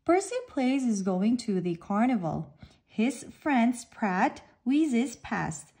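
Slow, high-voiced woman reading a storybook. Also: very animated, mellow, husky. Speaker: storybook reader. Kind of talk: animated children's read-aloud narration.

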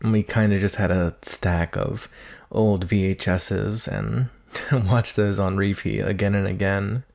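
A man's voice: fairly low pitch, fairly even intonation, slow, gravelly, a little mellow. A man playing a shy, earnest nineteen-year-old naga monster boy in a conversational ASMR roleplay, hesitant, nervous and apologetic. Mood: shy, friendly.

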